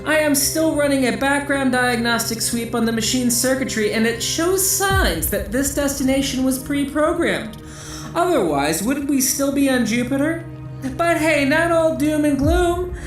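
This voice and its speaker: Sci-fi droid sidekick, female actor: evasive, pedantic, over-polite chatterbox, high register, echoey. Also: expressive, a little slow, faint music behind. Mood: brightly upbeat. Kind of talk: sci-fi audio drama acting.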